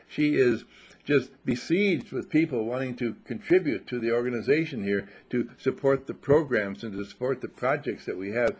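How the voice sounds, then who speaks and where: A man's voice: mid-range voice, slightly rough. A man giving a spiritual talk in an emphatic, repetitive way, often asking rhetorical questions, recorded on a dull lo-fi recording. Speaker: spiritual teacher. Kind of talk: sermon.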